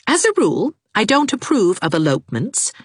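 A woman voicing a cool, sensible young woman teacher who speaks in a brisk, matter-of-fact way. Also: medium pitch, wildly swooping pitch, husky, no room sound, clean studio sound, pitched above the performer's own voice. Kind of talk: audiobook character voice.

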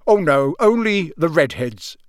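A man's voice: a little high, very animated, a little slow, no room sound, studio quality. A man voicing a friendly, polite middle-aged wizard father in an audiobook, mild-mannered and matter-of-fact.

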